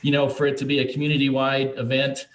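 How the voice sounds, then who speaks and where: Casting: man, interviewed spokesman, lowish everyday voice, roomy and far from mic, faint noise. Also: completely flat delivery, fast talker. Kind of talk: interview talk. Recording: echoey, distant mic, noisy, clicks.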